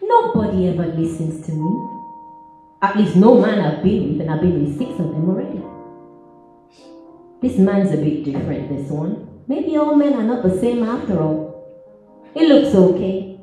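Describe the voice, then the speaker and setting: Woman acting a sassy wounded outcast woman, dramatic stage monologue, very animated pitch, far from the mic in an echoey hall. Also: normal speed, pauses often, soft background music, lightly acted. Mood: sassy, incredulous. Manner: theatrical, emphatic, swinging from hushed to loud. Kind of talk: dramatic spoken-word monologue performed live. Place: large echoey church hall, music behind.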